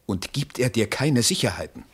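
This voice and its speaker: An actor in a 1970s German radio play, playing an intriguing helper and possessive lover in a florid, conspiratorial, declamatory manner with a husky voice. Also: male voice, mid-range voice, animated, moderate pace. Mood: conspiratorial, ardent.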